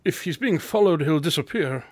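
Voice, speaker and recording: An actor playing a clever, confident detective in a radio drama, with precise, formal and superior diction. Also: male voice, on the high side, expressive, moderate pace, darkish tone, no room sound. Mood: insistent, superior.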